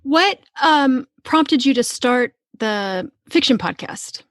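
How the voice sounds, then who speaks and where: A woman with a fairly high voice hosts a casual book chat. Her delivery is effusive and emphatic, and the sound is very dry, with no room echo. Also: expressive, slow pace. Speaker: podcast host.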